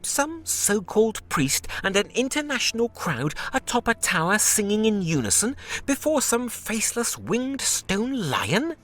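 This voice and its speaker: An actor playing a scholarly, sceptical translator of ancient books in a video game, with bookish, theatrical delivery. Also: male voice, high-pitched, very animated, a little slow, fairly bright tone, exaggerated voice. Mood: sceptical storytelling.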